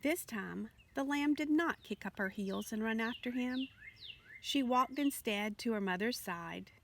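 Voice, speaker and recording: A woman narrates a children's story in a fairly high voice. Her reading is expressive, as for a picture book, and there is faint noise behind her. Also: a little slow, gravelly. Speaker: storybook reader.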